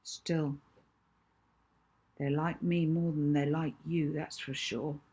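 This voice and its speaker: Woman playing a fed-up, blunt schoolboy, husky. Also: low voice, level tone, takes it slowly, mellow, heavily acted. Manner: exasperated, blunt, matter-of-fact. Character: fed-up schoolboy, normally easygoing, bluntly honest. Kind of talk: acted children's audiobook dialogue.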